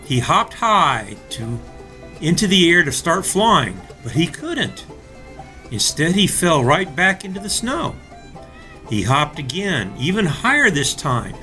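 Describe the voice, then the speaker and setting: Male children's story reader, slightly high voice, very varied melody, expressive delivery to young listeners. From North Carolina, United States. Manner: deliberate, expressive, addressing young listeners directly. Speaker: storybook reader for children, school resource officer.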